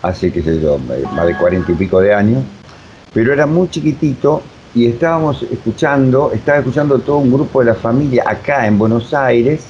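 A man's voice: brisk, a little mellow. A man in his seventies from Uruguay with a Rioplatense accent, reminiscing in a rambling, digressive interview style. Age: 70s. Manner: rambling, digressive, many filler phrases. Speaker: interview guest, voice technique teacher. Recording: dull, rumbly, faintly noisy recording.

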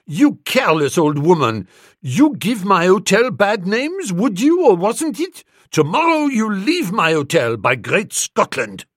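A blustering French hotel manager for a comic storybook. He shouts in broken English with a French accent, high, wildly animated and very dry. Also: measured pace, slightly rough, dry recording, full character voice. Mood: outraged. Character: whiskered, excitable foreign hotel manager, loud and outraged.

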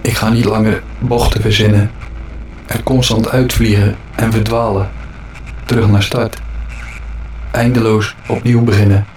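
A man delivering a slow, dramatic spoken-word monologue in brooding, terse phrases, as a podcast narrator. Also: on the low side, little pitch movement, darkish tone, faint music behind, low-quality recording.

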